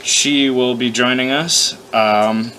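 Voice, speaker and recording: A man's voice: medium pitch, steady tone, slow, bright, forceful tone, low-quality recording. A man hosting an unscripted reading of his own story, with casual, profane, self-deprecating commentary. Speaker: host reading own fan story aloud. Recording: noticeable background noise, amateur one-take recording.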